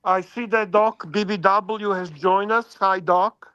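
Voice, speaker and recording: Serbian-accented man, bright and high. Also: fairly even intonation, measured pace, smooth, highs cut off. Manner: emphatic, with frequent restarts and swearing. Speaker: panel co-host. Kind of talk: casual panel chat.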